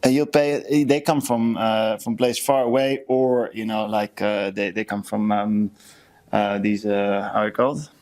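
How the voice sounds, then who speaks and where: A man's voice: on the low side, fairly even intonation, a little slow, darkish tone. A man from the Netherlands with a Dutch accent, chatting casually and self-deprecatingly as a podcast co-host. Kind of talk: casual chat.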